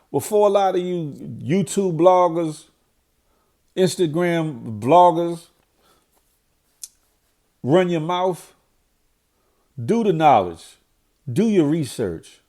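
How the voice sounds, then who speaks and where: A man's voice: a little high, warm tone. A middle-aged man with a nasal, cold-stuffed voice, giving a blunt, preachy commentary monologue as a vlogger. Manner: blunt, preachy, repeats himself when fired up. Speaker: vlogger commenting on current events.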